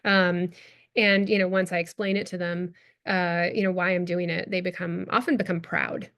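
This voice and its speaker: A woman's voice: lowish voice, level tone, moderate pace, a bit bright. An American woman in her thirties, an anthropologist answering audience questions at a talk, with many fillers, restarts and laughs. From United States. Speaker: guest speaker, anthropologist. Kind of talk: lecture Q&A answer.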